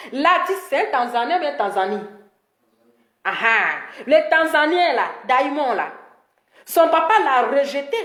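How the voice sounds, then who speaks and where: A woman with a high voice and an Ivorian accent speaks as the host of a live stream. She gives opinionated commentary in an emphatic, scolding way, hammering points by repeating them. The sound is roomy and echoing. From Ivory Coast. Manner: animated scolding, emphatic repetition.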